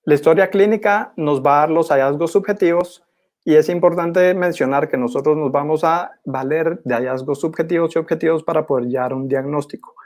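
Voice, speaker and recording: Young guy from guatemala, slightly high voice, doing a formal case talk, measured and teachery. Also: darkish tone. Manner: measured, didactic, clearly enumerating. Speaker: postgraduate dentistry student presenter. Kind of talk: formal academic case presentation.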